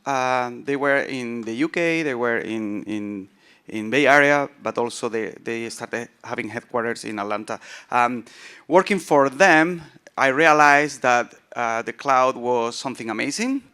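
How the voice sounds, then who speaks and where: Clear-voiced man with southern Spanish accent. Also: mid-range voice, measured pace, pushed and bright. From southern Spain. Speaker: conference speaker, software founder. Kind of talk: informal technical conference talk.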